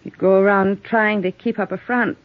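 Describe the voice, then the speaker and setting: A woman's voice: medium pitch, steady tone, moderate pace, close to an everyday voice. An American actress playing a weary, proud but vulnerable woman in a 1950s radio drama, confiding and dramatic. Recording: thin, tinny old radio recording.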